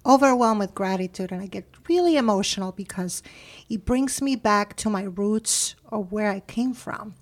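A woman's voice: medium pitch, lively intonation, moderate pace, few pauses. Earnest woman guest. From Puerto Rico. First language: Spanish. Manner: earnest and effusive. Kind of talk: heartfelt interview answer.

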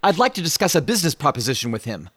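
An actor playing an eager, smooth-talking American showman in a radio drama, with a breezy salesman's manner. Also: on the high side, big pitch swings, racing, fairly bright tone, no echo. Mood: eager, businesslike.

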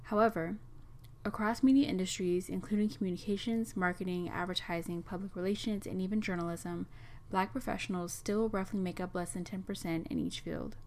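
A young woman introduces her podcast episode in an earnest, measured tone. Her voice sits a little low. She sounds like she is reading from a prepared script. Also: fairly even intonation, a little mellow, slight background noise. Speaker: podcast host.

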